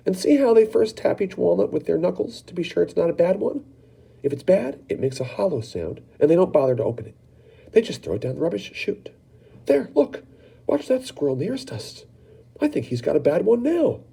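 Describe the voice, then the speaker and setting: A man voicing an excitable, eccentric male chocolate-maker who talks eagerly with bouncy swings in pitch. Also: a little fast, gravelly, slightly rough, full character voice. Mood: excited, explaining.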